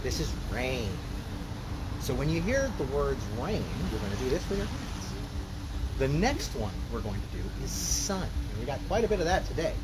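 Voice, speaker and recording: A man's voice: a little high, very animated, normal speed, heavy background noise. A man hosting a children's story time, speaking and singing in an informal, encouraging, instructional way. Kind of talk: casual live children's performance, talking to families and singing along with ukulele. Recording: live ukulele music in the recording. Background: ukulele playing.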